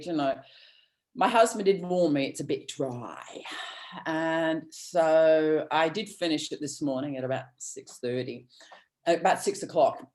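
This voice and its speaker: A woman from Australia, giving an earnest, rambling motivational talk with many restarts over a video call. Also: sits low. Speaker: guest speaker.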